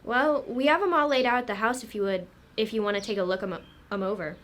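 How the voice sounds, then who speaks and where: A woman's voice: fairly high pitch, fast, fairly bright tone, lightly acted. A woman playing a chatty, deferential local police sergeant in an amateur radio drama, with a folksy manner. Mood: earnest, deferential. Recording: clear background noise.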